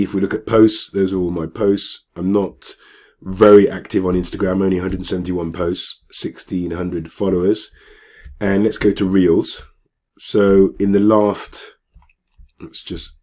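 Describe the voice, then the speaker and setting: A man whose voice is hoarse and croaky from a cold, sitting very low in pitch. He talks casually through what is on his screen, hesitating often with fillers and restarting his sentences. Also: warm tone. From England. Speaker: business vlogger. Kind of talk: screen-share walkthrough talk.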